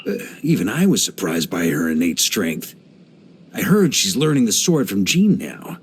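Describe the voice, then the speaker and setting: An actor playing a hearty, easygoing veteran knight grandmaster in a video game, speaking in an expressive, playful, conversational way. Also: male voice, lowish voice, moderate pace, slight background noise. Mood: amused, exasperated. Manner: jovial, expressive, playful, chuckling asides.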